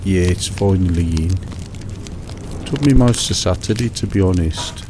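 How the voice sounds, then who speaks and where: Brit guy mumbling through a dull sleepy phone chat, really deep voice, crackly noise behind him. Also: a little slow. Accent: British. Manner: mundane, hesitant, rambling, soothing, repeats himself. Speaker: host of a sleep relaxation show. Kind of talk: monotonous one-sided phone chat for falling asleep. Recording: steady background noise, fireside crackle.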